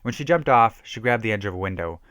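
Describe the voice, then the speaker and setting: A man's voice: fairly low pitch, animated, fast, fairly bright tone, dry recording, clean studio sound. A man giving an emphatic, theatrical reading of a horror story, stumbling now and then. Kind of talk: dramatic reading of a self-written horror story.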